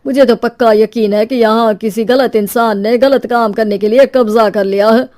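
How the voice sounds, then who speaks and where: A woman's voice: on the high side, fairly even intonation, normal speed, a little mellow, no room sound, studio quality, close to an everyday voice. A woman voicing a bold, skeptical young male neighbor in a horror story, insistent and argumentative.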